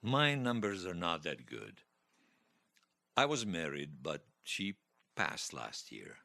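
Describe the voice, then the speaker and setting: Low-voiced, wistful elderly widower. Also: male voice, huge pitch swings, slow pace, vocal fry, bright, forceful tone, no room sound, full character voice. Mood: tender, sad. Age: older. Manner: hesitant, stammering, tender. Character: elderly widower, gentle, wistful, teasing old romantic. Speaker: interviewee telling his life story. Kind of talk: audio drama acting, personal reminiscence. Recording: music behind the voice.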